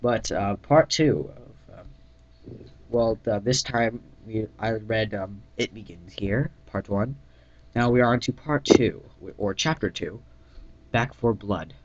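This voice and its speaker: A man giving an amateur dramatic reading of his own story, halting and often stumbling and correcting himself. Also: on the low side, a little hiss or hum. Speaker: reader of own fan fiction. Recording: dull lo-fi mic in echoey room.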